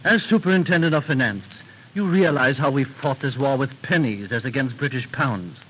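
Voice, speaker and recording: A man's voice: mid-range voice, moderate pace, fairly bright tone, muffled recording, natural voice. An actor, a man, playing a dignified, earnest army general in a formal, solemn 1930s radio drama manner. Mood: grave, urgent. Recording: noisy 1930s radio recording.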